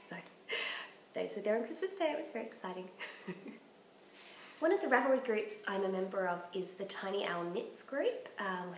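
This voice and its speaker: A woman hosting a knitting podcast in casual chat, her voice croaky from bronchitis, chatty and enthusiastic. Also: medium pitch, roomy sound, slight background noise, low-quality recording. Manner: chatty and enthusiastic, laughs often.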